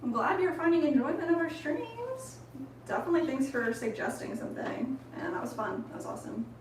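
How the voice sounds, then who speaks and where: A woman's voice: high voice, big pitch swings, a little fast. A woman co-hosting a casual pottery livestream, thinking aloud with plenty of fillers as she works. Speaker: ceramics livestream co-host. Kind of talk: casual livestream chat while making pottery. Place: pottery studio. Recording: echoey room, mic at a distance, noisy.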